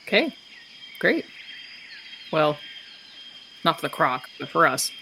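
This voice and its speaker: Very low-voiced woman chatting over a game. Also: sing-song, slow, creaky, bright, forceful tone, slight background noise. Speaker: player in a tabletop roleplaying game. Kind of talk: casual chat.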